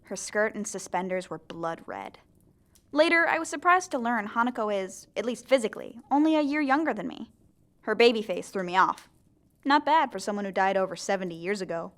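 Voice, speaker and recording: A woman's voice: medium pitch, lively intonation, brisk, a bit bright. A woman playing a bewildered teenage zombie girl who dramatically narrates her own story in an audio drama. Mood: wry storytelling. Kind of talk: scripted first-person audio drama narration.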